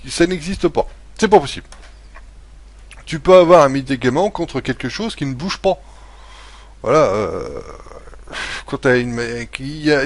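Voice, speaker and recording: A man talking casually in a vlog, rambling with many fillers and restarts, blunt and swearing now and then, chuckling often. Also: a little high. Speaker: vlogger. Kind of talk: casual home-remedy vlog.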